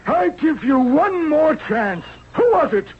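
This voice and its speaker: An actor playing a brutish, blustering slave overseer in an old-time radio drama. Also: male voice, extremely high pitch, big pitch swings, slow pace, heavily acted. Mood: angry, bullying. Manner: barking, exclamatory. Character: brutish slave overseer, blustering bully who turns grovelling. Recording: muffled, noisy 1970s radio recording.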